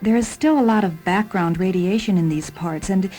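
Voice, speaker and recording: A woman voicing the obedient, composed mind of an intelligent car in a science fiction radio drama, measured and formal. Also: medium pitch, close to an everyday voice. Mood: calm, dutiful. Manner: measured, formal, calmly reporting. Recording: music behind the voice.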